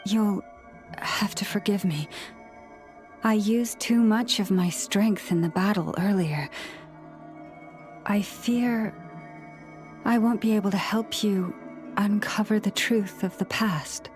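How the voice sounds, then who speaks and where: A woman voicing a gentle young priestess in a video game, soft-spoken, earnest, measured and formal. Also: mid-range voice, faint music behind, lightly acted. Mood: grave. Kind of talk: English video game voice acting.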